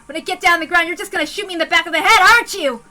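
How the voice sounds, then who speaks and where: Woman acting desperate wounded man, storybook drama, shrill high pitch, loud forceful pleading. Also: racing, soft background music, exaggerated voice. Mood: desperate, distrustful. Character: frantic wounded man pleading for his life.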